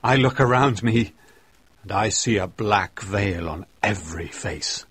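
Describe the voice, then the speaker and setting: A man voicing a stern, defiant old male minister on his deathbed, speaking in loud, accusing outbursts. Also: lowish voice, a little slow, husky, no room sound.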